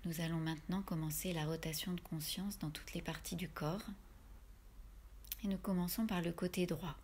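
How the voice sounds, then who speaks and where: A woman leading a guided yoga relaxation in a soft, breathy voice, giving soothing, unhurried instructions with pauses. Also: on the low side, fairly even intonation.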